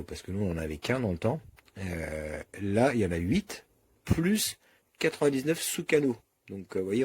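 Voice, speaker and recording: A man with a fairly low, husky voice reviews a product off the cuff. His delivery is casual and colloquial, and he thinks aloud while showing how things work. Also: a little mellow.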